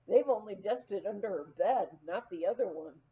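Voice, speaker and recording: A woman's voice: medium pitch, animated, moderate pace, highs cut off, close to an everyday voice. A woman voicing a blunt, no-nonsense ranch innkeeper in a memoir, with a wry, exasperated manner. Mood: brisk, questioning.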